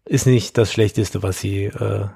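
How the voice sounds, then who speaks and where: A man's voice: lowish voice, steady tone, measured pace, creaky, dark tone, breathy edge, dry recording. A man co-hosting a podcast, making dry, wry remarks in casual chat. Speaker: podcast co-host.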